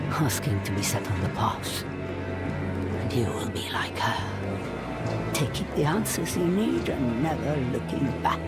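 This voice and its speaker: An actor playing a weary, cryptic old man of the swamp in a video game, ominous and theatrical. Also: medium pitch. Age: older. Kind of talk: dramatic video game acting. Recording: music behind the voice.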